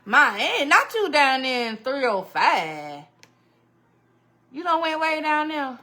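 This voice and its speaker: Bright, chatty woman streaming live. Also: high voice, takes it slowly. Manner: chatty and animated, greets viewers by name and laughs often. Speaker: livestream host. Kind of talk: casual livestream chat with viewers.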